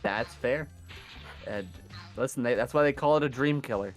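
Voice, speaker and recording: A man commentating a live gaming marathon in a casual, chatty, self-deprecating way, his voice hoarse from days of yelling. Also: mid-range voice, normal speed, faint music behind.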